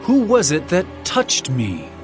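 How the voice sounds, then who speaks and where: An actor playing Jesus as a calm, authoritative teacher with a measured, solemn delivery. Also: male voice, on the high side, exaggerated voice. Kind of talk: dramatized scripture reading. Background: music.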